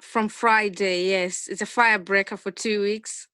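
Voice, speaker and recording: A woman's voice: medium pitch, fairly even intonation, measured pace, pushed and bright, clear, resonant tone, no echo. A young woman with a Zimbabwean accent, answering questions as a guest in a conversational interview over a video call. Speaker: interview guest, finance analyst.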